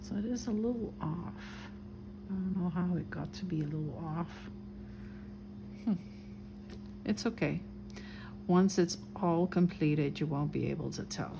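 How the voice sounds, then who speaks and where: A woman with a light Dutch accent, calmly talking through each step as she draws and colors a mandala. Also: lowish voice, measured pace, vocal fry, long pauses, noisy background. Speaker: craft video host. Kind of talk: relaxed craft tutorial narration.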